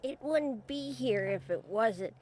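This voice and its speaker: Woman playing an earnest high-voiced scrapyard girl. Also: animated, takes it slowly, darkish tone, slight background noise. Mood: helpful, confident. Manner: naive, chatty, folksy kid phrasing. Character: earnest scrappy young girl who hangs around a scrapyard. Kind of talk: old-time radio mystery acting.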